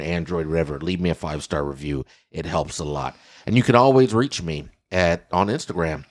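A man with a raspy voice hosting a casual podcast, telling stories in an animated way and repeating himself for effect. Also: low-pitched. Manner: animated storytelling with repetitions. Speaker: podcast host.

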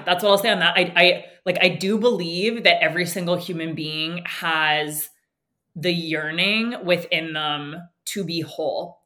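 A woman's voice: low voice, sharp tone. A woman from Canada, a nervous system coach, answering interview questions candidly, thinking aloud with frequent restarts. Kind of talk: reflective interview talk.

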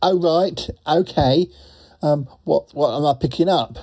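A man's voice: fairly high pitch, measured pace, darkish tone. A man voicing a cheerful globetrotting duck who tacks quacks onto every sentence. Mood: easygoing.

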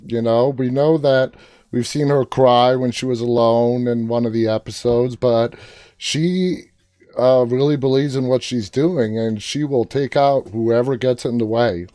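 A man with a warm, mellow voice of medium pitch hosts a casual fan interview. He is earnest and emphatic, often restating his point. The recording is very dry, with no room sound. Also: steady tone, measured pace, no echo. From Queens, New York, United States. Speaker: interviewer, fan show host.

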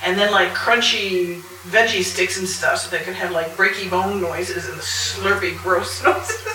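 A woman's voice: fairly low pitch, fairly even intonation, a little slow, far from the mic. Wry woman in an echoey, noisy room. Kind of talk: casual panel discussion. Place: live convention panel.

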